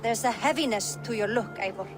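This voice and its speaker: Woman playing a higher-voiced, attentive Viking clanswoman. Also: brisk, music in the background, natural voice. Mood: concerned, gentle. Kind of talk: video game cutscene acting.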